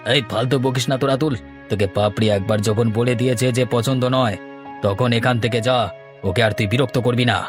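A man's voice: medium pitch, steady tone, brisk, music in the background, close to an everyday voice. A man voicing a bullying young rival in love, contemptuous and confrontational, in a cartoon. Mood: scornful, aggressive. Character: brash, bullying young male romantic rival. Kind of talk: melodramatic cartoon acting.

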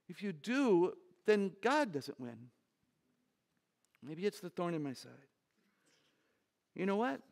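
Older lady with a really low voice telling funny stories at a church conference, big stage. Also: vocal fry. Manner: animated, humorous storytelling. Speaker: conference speaker. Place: large stage.

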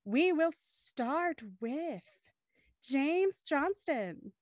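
Young woman hosting a stream, high voice, upbeat, dry mic sound. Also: animated, extremely slow, dry recording. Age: young adult. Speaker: show host. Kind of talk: upbeat livestream hosting, introducing guests. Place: small room at home, video call. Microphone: studio microphone on a stand, headphones.

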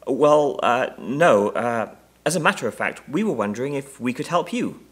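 A man voicing a fussy, well-meaning married gentleman in a storybook, with a proper, slightly hesitant manner. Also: mid-range voice, big pitch swings, brisk, breathy edge. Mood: doubtful, embarrassed.

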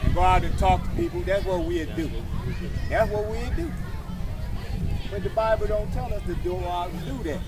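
American man, high voice, street preacher, mocking and confrontational, repeats himself, outdoors at a slight distance. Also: moderate pace, long pauses, a loud crowd, very noisy. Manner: confrontational, mocking, repetitive call-and-response. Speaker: street preacher leading readers. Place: outdoors at a waterfront park walkway. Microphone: camera several feet away.

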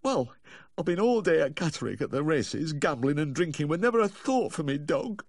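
A man's voice: a little high, huge pitch swings, normal speed, no echo, voice pushed up. A man voicing a tearful, fretful little Yorkshireman, a doting, tipsy dog owner, in a quavering audiobook character voice. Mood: guilty, tearful. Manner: wailing, quavering, gasping.

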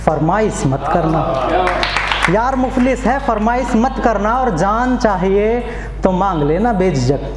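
High-voiced young man reciting poetry. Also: animated, takes it slowly, roomy sound, big-room sound, loud music behind the voice, over a noisy crowd. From Uttar Pradesh, India. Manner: declamatory, repeats lines for emphasis. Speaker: poet. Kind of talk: open mic poetry recitation. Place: small indoor open mic venue. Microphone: stand mic.

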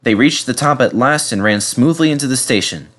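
A man narrating a children's storybook in an expressive, dramatic way. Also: mid-range voice, fairly quick. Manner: animated, dramatic reading. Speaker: narrator reading a children's book.

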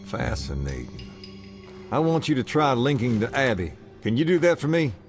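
Blunt, lively-pitched squad soldier. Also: male voice, normal speed, gravelly, music in the background. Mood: serious. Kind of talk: dramatic video game acting.

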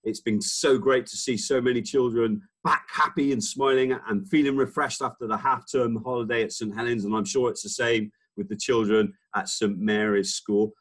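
A man's voice: medium pitch, fairly even intonation, moderate pace, hardly any pauses, slightly rough. A man in his forties, a head teacher, opening a school assembly over a video call in an upbeat, teacherly way. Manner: warm, upbeat, teacherly, some hesitations. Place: school office, indoors. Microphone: computer webcam on video call.

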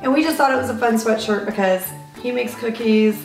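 A woman in her forties hosting a cooking show, hoarse from a cold, chatting in a playful, teasing way in a home kitchen. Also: on the high side, a little fast. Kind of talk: casual kitchen chat. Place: home kitchen set, indoors. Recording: roomy, distant sound with background music.

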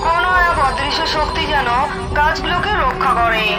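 A woman narrates a cartoon fairy tale in an even storytelling manner. Her voice sits high. Loud music runs under everything she says. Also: fairly quick, a loud crowd.

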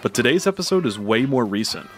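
A man's voice: medium pitch, sing-song, fast talker. A man reading scripted video essay narration in a wry, sardonic manner. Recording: music behind the voice. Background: background music, reactors' interjections.

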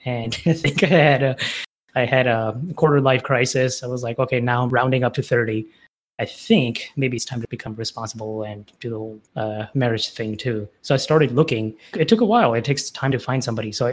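A man in his late twenties hosting a casual podcast chat, speaking in a relaxed, measured way and asking short questions. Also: mid-range voice. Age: 20s. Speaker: podcast host.

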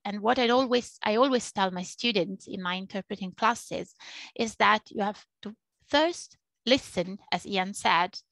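Italian-accented woman, gravelly and earnest. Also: medium pitch, a bit bright, no echo, rough recording. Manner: earnest, explaining, repeats points for emphasis. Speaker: interpreter and Chinese interpreting teacher. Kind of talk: webinar panel talk. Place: small home office. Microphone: headset on a video call.

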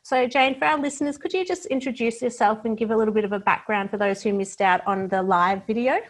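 A woman's voice: on the high side, fast. A woman speaking as a podcast host, introducing and interviewing a guest.

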